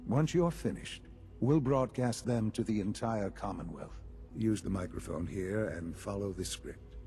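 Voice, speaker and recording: An actor playing a composed, authoritative leader in a video game, speaking formally and deliberately with understatement. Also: male voice, lowish voice, mellow. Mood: commanding. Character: composed, authoritative male leader of a secretive institute.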